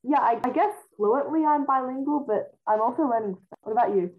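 A woman's voice: on the high side, fairly quick. A teenage girl who grew up in China and Australia, with Mandarin as her first language, co-hosting a radio show informally. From China, then Australia from early childhood. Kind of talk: casual radio chat with scripted links. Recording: thin, phone-like band-limited sound.

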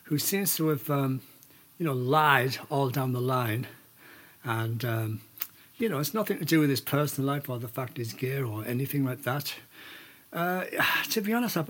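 A man's voice: on the high side, fairly bright tone, breathy edge. An older man chatting casually as a solo podcast host, hesitant and digressive, with wry, opinionated asides. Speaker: podcast host and amateur musician.

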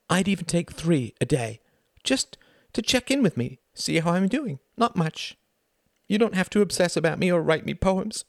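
A man's voice: a little high, no room sound, clean studio sound, pitched above the performer's own voice, full character voice. A man voicing a wounded, desperate young wife, pleading and bitter in an emotional audiobook drama.

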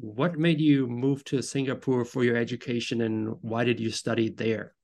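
A man's voice: mid-range voice, level tone, moderate pace, darkish tone. Friendly podcast host, plain male voice. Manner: friendly, hesitant with fillers. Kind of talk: interview host questions.

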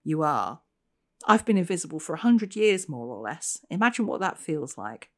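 A woman's voice: low-pitched, sing-song, normal speed. A woman voicing a prim young female ghost in an old police uniform, indignant and then smug. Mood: pleading. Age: 20s.

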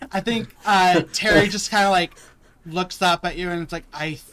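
A man's voice: high-pitched, fairly bright tone, slight background noise. A man improvising casually in a tabletop roleplaying game, making up characters and what they say.

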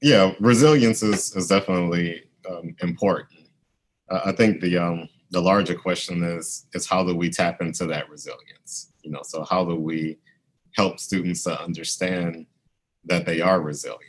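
A man's voice: low voice, fairly even intonation, moderate pace, slightly rough. A man interviewed as an education scholar, speaking thoughtfully and measuredly with frequent fillers. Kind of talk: academic interview.

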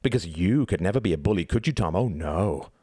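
A man's voice: low voice, animated, normal speed, darkish tone, dry recording, studio quality. A man narrating a story, voicing an indignant older-brother boy who pleads and scolds emphatically. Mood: sneering. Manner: emphatic, exclaiming, reproachful.

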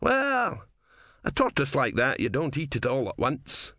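Man reading a high wheedling old philosopher, cackling gambler, voice leaping all over, dry close sound. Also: wildly swooping pitch, measured pace, pushed and bright, dry recording, full character voice. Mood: gleeful. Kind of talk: audiobook character voice.

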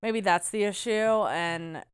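A woman co-hosting a podcast in casual chat, with a loud, booming voice and a teasing, animated way of talking full of fillers. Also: unhurried, smooth, dry recording, clean studio sound. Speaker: podcast co-host.

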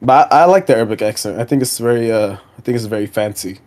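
A young man co-hosting a casual podcast, explaining things in a halting, rambling way with many restarts. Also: mid-range voice, a little mellow. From Pakistani family background. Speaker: podcast co-host. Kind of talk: casual chat.

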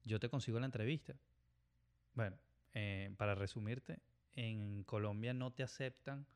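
A man's voice: lowish voice, moderate pace, smooth. Venezuelan man telling stories, casual. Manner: storytelling, acts out quoted dialogue. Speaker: airline pilot guest. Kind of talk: casual podcast chat.

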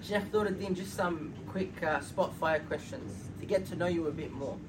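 Man hosting a talk, polite, voice a touch high, distant mic, noisy background. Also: normal speed. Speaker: event host. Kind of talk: moderating a talk.

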